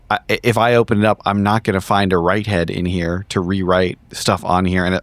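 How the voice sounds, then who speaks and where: A man's voice: low-pitched, no room sound. A man playing a tabletop roleplaying game, improvising in character with casual, sweary, analytical talk.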